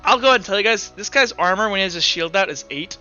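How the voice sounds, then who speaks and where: A man's voice: high-pitched, expressive, racing, pushed and bright. A man casually narrating and refereeing a tabletop roleplaying game as game master. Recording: dull lo-fi sound, music behind.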